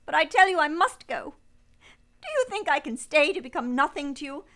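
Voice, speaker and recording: A woman's voice: high voice, lively intonation, brisk, sharp tone, heavily acted. A woman playing an earnest, proud young governess in love, speaking formal literary lines in a radio play over music. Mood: impassioned, defiant. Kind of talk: radio play acting with first-person narration. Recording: music behind the voice.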